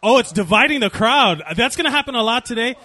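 A man's voice: extremely high pitch, expressive, quick pace, sharp tone. A man co-hosting a casual podcast, rambling and profane, full of fillers, restarts and trailing thoughts. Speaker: podcast co-host.

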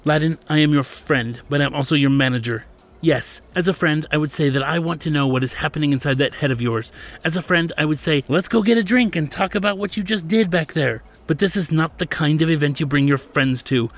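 A man's voice: on the high side, fairly quick, voice pushed up, natural voice. A man putting on a stern, fussy middle-aged manager. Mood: scolding. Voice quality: formal, insistent. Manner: lectures earnestly, addresses him as sir.

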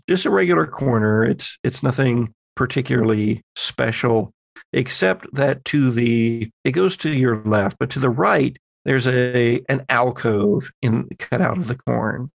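A man with a creaky edge to his voice runs a horror roleplaying game. He narrates slowly and haltingly, building suspense as game master, in a close, dry sound. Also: medium pitch, dry recording.

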